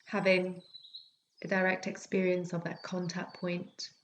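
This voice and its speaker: A woman with a fairly low voice leads a guided relaxation as a yoga teacher. Her delivery is gentle and unhurried, leaving long pauses between instructions. Soft forest ambience and birdsong sit faintly behind her. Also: slight background noise. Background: calming forest nature sounds with birds.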